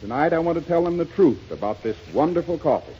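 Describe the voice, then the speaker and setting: American guy doing a lively 30s radio ad read, formal salesman, dull hissy old recording. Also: a little high, fast talker. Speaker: radio announcer. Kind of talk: 1930s radio sponsor advertisement. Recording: lo-fi 1930s radio, faint noise.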